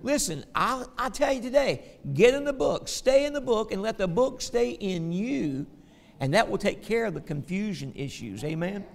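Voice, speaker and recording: A man with a Southern American accent teaching a Bible lesson, folksy in his asides and slow and emphatic when he reads scripture. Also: sits high, expressive, creaky, big-room sound. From Southern United States.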